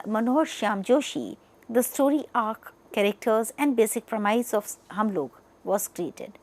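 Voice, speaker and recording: Female media educator, Indian accent, slightly high voice with lively, swinging intonation, explaining to students emphatically. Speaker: media educator presenting a lesson. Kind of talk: lecture-style explanatory narration.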